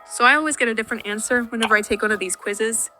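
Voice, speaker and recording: A woman's voice: fairly high pitch, rapid-fire, music in the background. A young woman from Finland, a native Finnish speaker, answering viewer questions in a dry, self-deprecating voice-over. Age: young adult. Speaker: YouTuber. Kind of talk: Q&A vlog voice-over.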